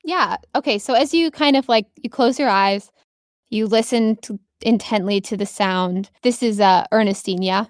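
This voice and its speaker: A woman's voice: medium pitch, moderate pace, dry recording, studio quality. A woman improvising narration as a tabletop game master, descriptive and conversational.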